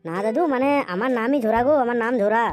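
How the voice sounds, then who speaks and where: A woman's voice: fairly high pitch, racing, darkish tone, soft background music, lightly acted. A woman voicing a hapless, wheedling, crude young male boozer in a comedy cartoon. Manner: pleading, grumbling, repetitive. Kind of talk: comedy cartoon dialogue and monologue.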